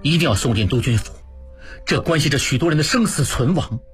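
Historical audiobook role: serious, worried male idealist, voiced by a narrator, lively intonation, faint music. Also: medium pitch, fairly quick, natural voice. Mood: grave. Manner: grave, urgent. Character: earnest, troubled young man, revolutionary sympathiser.

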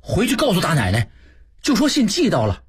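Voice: mid-range voice, huge pitch swings, fairly quick, a little hiss or hum. A narrator playing a forgetful but cautious young manservant, hesitant and offhand, in an audiobook. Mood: worried, cautioning.

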